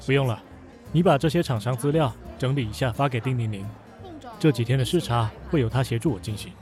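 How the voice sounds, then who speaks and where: A man's voice: medium pitch, fast talker, over music, close to an everyday voice. A man voicing a cool, spiteful young male general manager who speaks curtly. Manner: curt and dry. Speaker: voice actor in an audio drama. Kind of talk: modern audio drama acting.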